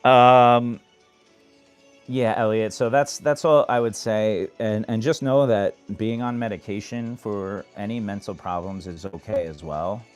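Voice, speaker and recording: A man from New York hosting a late-night phone livestream, giving earnest advice to viewers in a monotone way. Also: lowish voice, a little slow, smooth, faint music behind. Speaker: livestream host. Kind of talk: casual live chat answering viewers.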